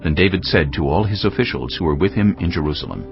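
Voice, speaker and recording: A man narrating scripture from a script in a measured storytelling manner. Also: deep voice, expressive. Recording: slightly muffled, music underneath. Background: background music.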